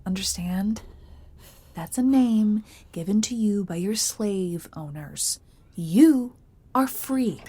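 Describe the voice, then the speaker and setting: A woman's voice: medium pitch, lively intonation, slow pace, dark tone, husky. A woman playing a brash, pushy, street-smart cat in an audio drama, bossy, theatrical and emphatic.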